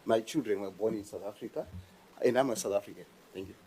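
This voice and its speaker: A middle-aged man, answering a committee as a company finance officer in halting, formal speech with many restarts. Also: fairly low pitch, a little mellow, husky, poor audio. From Zimbabwe. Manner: hesitant, restarting and stammering, formal. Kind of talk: testimony before a committee.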